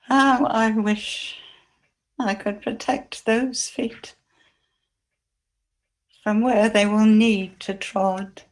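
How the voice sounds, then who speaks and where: A woman playing a grieving, devoted biblical woman, tender and anguished, in a halting, emotional dramatic monologue for church. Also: medium pitch, steady tone. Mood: weeping sorrow.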